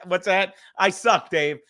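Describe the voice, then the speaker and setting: A man with a high, bright voice hosts a loose livestream hang. He rambles casually, putting himself down and swearing freely as he goes. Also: slow. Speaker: livestream host. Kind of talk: casual profane livestream chat.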